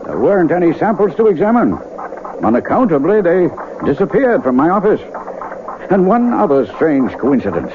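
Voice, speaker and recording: A man's voice: fairly high pitch, big pitch swings, normal speed. A man acting a weary, ageing doctor in a radio drama, folksy and ruminative. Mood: puzzled. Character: weary, troubled male small-town doctor, getting older. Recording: tinny, noisy vintage radio broadcast.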